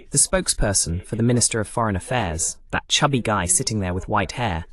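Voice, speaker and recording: A man hosting a political commentary broadcast, talking in a sardonic, digressive way. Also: fairly low pitch. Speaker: host of political analysis broadcast. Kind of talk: political commentary, English voice-over of a live talk.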